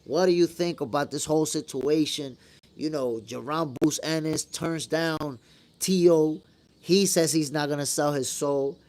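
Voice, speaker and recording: Higher-pitched New York man talking boxing. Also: a little slow, a little mellow. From New York, after moving from the Dominican Republic at eight. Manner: conversational and slangy, addressing viewers as brother. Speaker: boxing show host. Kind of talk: casual live-stream commentary, answering viewer comments.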